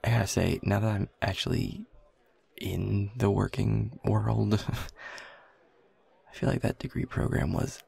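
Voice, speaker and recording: A man's voice: lowish voice, vocal fry, darkish tone, breathy edge, exaggerated voice. A young man playing a shy, self-deprecating new coworker in an intimate audio roleplay, speaking hesitantly and getting flustered. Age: young adult. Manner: hesitant, flustered, stammering, nervous chuckles. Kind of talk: intimate audio roleplay, one side of a conversation.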